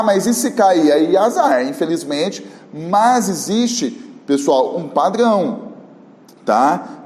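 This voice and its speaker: A Brazilian man teaching an exam-prep lesson, talking in a chatty way and checking in often with his students. His voice sits a bit high and sounds a little worn after a cold. The room has a long, lingering reverb. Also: darkish tone. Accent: Brazilian Portuguese. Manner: talkative, with frequent check-in tags.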